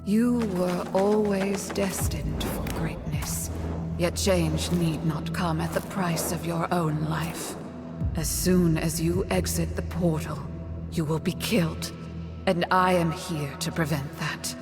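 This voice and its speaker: An actress playing a solemn, all-knowing mystic mentor in a video game, measured and cryptic in manner. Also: female voice, lowish voice, fairly even intonation, over music. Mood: grave warning. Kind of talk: video game cutscene acting.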